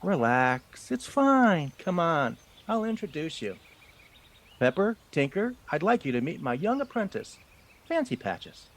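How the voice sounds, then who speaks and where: Man voicing a practical, animated field mouse mentor. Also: on the high side, unhurried, heavily acted. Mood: reassuring. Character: seasoned male field mouse, practical, gruff mentor to a youngster. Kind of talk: audio drama acting. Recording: faint outdoor ambience with birdsong.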